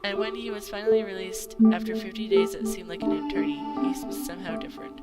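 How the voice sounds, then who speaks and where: Woman reading aloud haltingly over music. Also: mid-range voice. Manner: halting, stumbles over names and words. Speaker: amateur video maker. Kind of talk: reading visual novel text aloud. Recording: music playing behind the voice. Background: game music.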